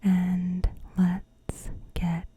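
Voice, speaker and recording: A woman softly whispering a scripted recap as an ASMR host, in short, deliberate phrases with pauses. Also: lowish voice, monotone, noisy background. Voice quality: soft, breathy whisper. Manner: slow, deliberate, pausing between short phrases.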